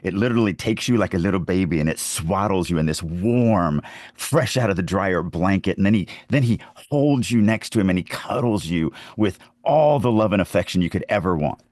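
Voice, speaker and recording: Deep-voiced man talking casually about his craft. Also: expressive, brisk, a little mellow, no echo, clean studio sound. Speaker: podcast host, fantasy author and writing teacher. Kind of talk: casual conversational writing advice.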